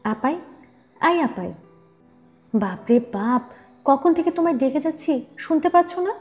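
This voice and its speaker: A woman's voice: sits high, animated, unhurried, darkish tone, soft background music. A woman voicing a caring, inquisitive, girlish younger sister in an audio story. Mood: playful complaint. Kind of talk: Bengali audio story acting.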